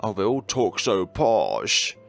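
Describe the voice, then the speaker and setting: A man's voice: lowish voice, wildly swooping pitch, slow, gravelly. A man streaming a game with casual, wry reactions and reading along, game music behind him. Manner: wry, chatty asides. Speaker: game streamer, let's-player.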